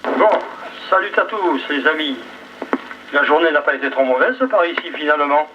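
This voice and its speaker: A man's voice: a little high, moderate pace, far from the mic. A man chatting casually as he runs an amateur radio round over a two-way link. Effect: two-way radio transmission. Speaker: amateur radio net operator. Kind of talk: casual ham radio chat. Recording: muffled VHF radio with faint noise.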